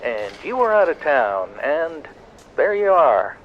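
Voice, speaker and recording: Muffled, animated actor as a noir police lieutenant. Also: male voice, on the high side, takes it slowly, a little hiss or hum. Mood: businesslike. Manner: wisecracking noir patter. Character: wry, tough 1940s police lieutenant. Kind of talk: 1940s noir radio acting.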